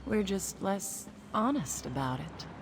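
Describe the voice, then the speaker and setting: A woman's voice: medium pitch, big pitch swings, unhurried, background noise. A woman voicing a haughty, worldly female governor in a video game, with a pompous, condescending manner. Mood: wistful, cynical. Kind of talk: dramatic video game dialogue.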